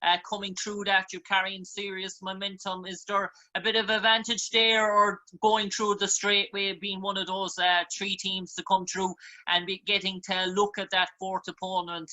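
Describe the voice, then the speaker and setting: A man's voice: sits high, little pitch movement, normal speed, pushed and bright, poor audio. An Irish man hosting a sports panel discussion, putting long, rambling questions to his guests. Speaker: sports show host.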